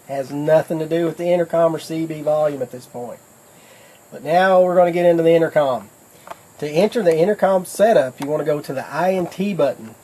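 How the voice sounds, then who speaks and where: A man's voice: a little high, warm tone. A man giving a casual how-to demonstration in a folksy, plain-spoken way, like a product demonstrator explaining things step by step.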